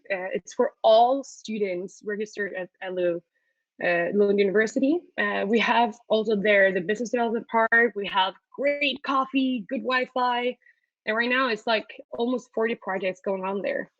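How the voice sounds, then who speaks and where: Woman host, upbeat and chatty, middle pitch, dry muffled call audio. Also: dry recording. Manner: upbeat, with frequent fillers. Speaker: webinar host. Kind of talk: presenting a student startup hub.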